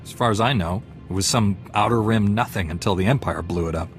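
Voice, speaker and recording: Man acting male heroic knight, very low pitch, measured, formal, composed, video game dialogue, music behind. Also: natural voice. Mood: calm. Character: calm, noble male Jedi knight, fair-minded hero.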